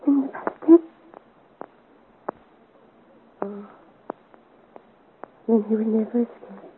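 An actress playing a frail, dying young woman in a 1950s radio serial, mournful and resigned, speaking weakly in halting phrases. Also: on the high side, natural voice. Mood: anxious. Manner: halting, weak, broken phrases. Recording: scratchy, tinny 1950s radio recording.

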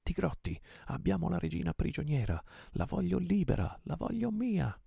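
A man with a gravelly voice voicing a passionate male pirate chief in an audiobook, impassioned and commanding. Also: low-pitched, normal speed, no room sound, clean studio sound, full character voice. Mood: rallying. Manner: impassioned, shouting orders.

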